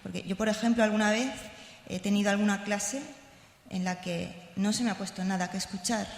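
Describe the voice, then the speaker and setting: Creaky-voiced woman speaking in an echoey hall. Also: mid-range voice, fairly even intonation. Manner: hesitant, halting, seeking agreement. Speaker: radio researcher and conference speaker. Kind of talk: informal spoken presentation. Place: large indoor conference hall. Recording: echoey hall, room microphone sound.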